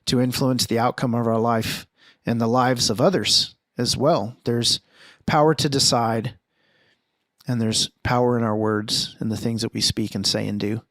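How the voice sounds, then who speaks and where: A man's voice: medium pitch, fairly even intonation, normal speed, a bit bright, no room sound. Podcast host, male, 40, solo reflective monologue, earnest and searching, close dry mic. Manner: earnest, thinking aloud with restarts and rhetorical questions.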